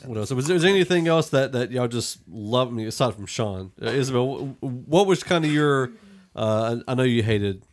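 A man hosting a podcast, casually discussing a film and thinking aloud in a halting way. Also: medium pitch, expressive. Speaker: podcast host.

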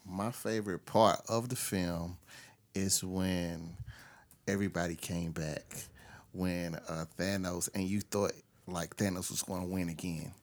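A man's voice: sits low, fairly even intonation, measured pace. A man speaking casually as a guest on a movie review podcast.

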